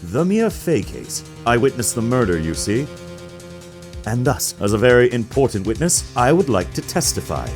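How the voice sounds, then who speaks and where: Menacing tycoon villain, pompous, theatrical, wildly animated intonation, game dialogue, music underneath. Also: male voice, medium pitch, moderate pace. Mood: smug. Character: pompous, menacing, manipulative male businessman villain. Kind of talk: video game fan dub.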